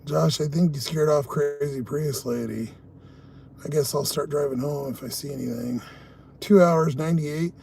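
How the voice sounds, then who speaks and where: Gravelly man chatting on a live stream. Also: on the high side, moderate pace, a little mellow, slight background noise. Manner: swears often, chats with viewers. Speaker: live streamer doing delivery driving. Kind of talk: casual live-stream chat. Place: inside a car.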